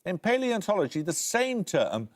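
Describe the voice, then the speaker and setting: A man hosting a quiz show, reading questions crisply and briskly in a studio. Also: sits high, very animated. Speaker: quizmaster. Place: television studio.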